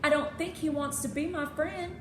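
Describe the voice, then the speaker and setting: A woman's voice: high-pitched, steady tone, moderate pace, voice pushed up, close to an everyday voice. A woman voicing an earnest, stubborn and curious young girl in a children's storybook. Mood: stubborn, protesting. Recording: echoey room with clear background noise.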